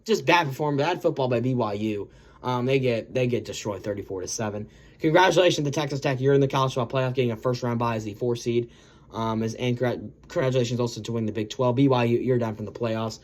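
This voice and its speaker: Slightly hoarse man rambling about football. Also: medium pitch, fast. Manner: rambling, self-correcting, lots of "guys" and "um". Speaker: sports video host. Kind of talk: casual sports recap commentary.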